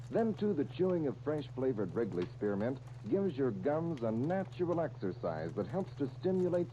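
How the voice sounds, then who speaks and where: A man's voice: medium pitch, dark tone, slight background noise. An American man reading scripted narration as a radio announcer, with polished, emphatic 1930s delivery. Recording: muffled 1939 radio recording, music behind.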